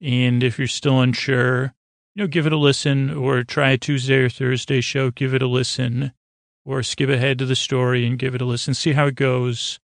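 A man with a creaky voice hosting a sleep podcast, talking in a halting, rambling, gently goofy way that drifts into repetition and wordplay. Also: medium pitch, flat delivery, no echo, studio quality. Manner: meandering, halting, repeats and trails off into wordplay. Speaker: podcast host. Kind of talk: rambling bedtime talk to help listeners fall asleep.

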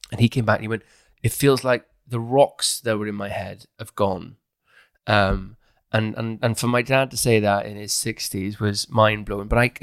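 A man in a candid, personal conversation, talking in an animated way and often acting out his own thoughts. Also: lowish voice, dry recording, clean studio sound. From Wales.

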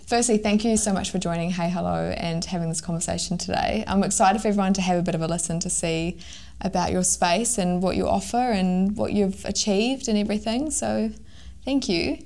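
A woman's voice: mid-range voice, brisk, vocal fry, darkish tone. A woman hosting a podcast, chatting in an encouraging, friendly way with her guest. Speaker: podcast host. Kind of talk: casual podcast interview.

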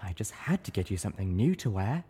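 A man's voice: fairly low pitch, very animated, fast talker, warm tone, breathy edge, heavily acted. A man doing a theatrical, refined unicorn mare. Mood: gracious. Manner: sing-song and theatrical. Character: theatrical, refined female unicorn singer, gracious.

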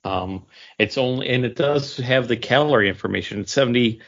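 A man co-hosting a podcast, talking casually in a hesitant, halting way. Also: mid-range voice, fairly bright tone, lo-fi sound. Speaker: podcast co-host. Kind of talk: casual drink review.

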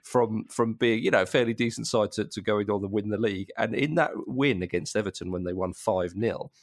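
A man with a lowish voice presents a football podcast in casual conversation. He tells stories with jokey animation, recorded close and dry. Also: a little fast, dry recording. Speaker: podcast host.

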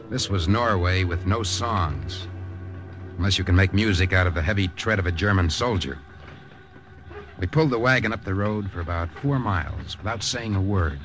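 An actor playing a hardboiled American secret agent, terse and dramatic, with an American accent in an old radio drama. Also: male voice, sits low. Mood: grim, narrating. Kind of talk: dramatic first-person narration, old-time radio acting.

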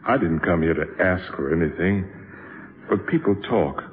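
An actor playing a weary, gentle older man in a 1950s radio drama, speaking in a halting, subdued way. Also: deep voice, lively intonation. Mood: resigned, wistful. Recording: muffled, band-limited 1950s radio recording.

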